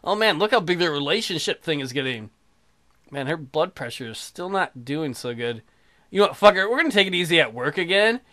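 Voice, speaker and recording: A man's voice: a little high, big pitch swings, a little fast, pushed and bright. A man doing casual, crude and sarcastic let's play commentary, swearing and reading game text aloud. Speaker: gaming video host. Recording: music behind the voice. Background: game music.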